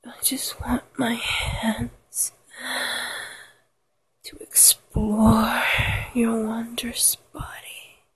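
Very slow, breathy whispering woman. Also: a little high, completely flat delivery, low-quality recording. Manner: slow, sensual, drawn-out delivery with soft moans. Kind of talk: intimate whispered erotic audio monologue addressed to listener.

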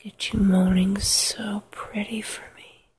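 A woman whispering an intimate, sensual erotic monologue to the listener in a breathy, drawn-out way. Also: medium pitch, little pitch movement, slight background noise. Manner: slow, sensual, drawn-out delivery with soft moans.

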